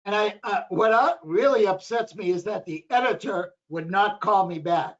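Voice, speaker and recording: Hoarse guy on a planning board, kinda high voice, rambles on, muffled lo-fi sound. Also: normal speed. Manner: rambling, repeats himself. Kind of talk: meeting discussion. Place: town meeting room. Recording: dull lo-fi local TV audio.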